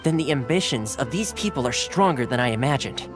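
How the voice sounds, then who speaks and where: A man voicing a polite, composed retainer who explains things in a measured way. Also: medium pitch, expressive, lightly acted. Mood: earnest. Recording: game audio with clear music behind.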